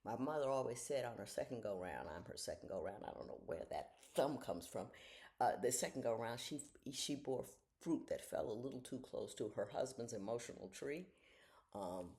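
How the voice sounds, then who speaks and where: A woman in her sixties talking casually to viewers from home in the early morning, giving frank, folksy advice and sometimes dropping to a soft half-whisper. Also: a bass voice, expressive, moderate pace. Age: 60s. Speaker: retired judge giving life advice. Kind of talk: casual reflective talk to viewers. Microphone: camera she leans toward.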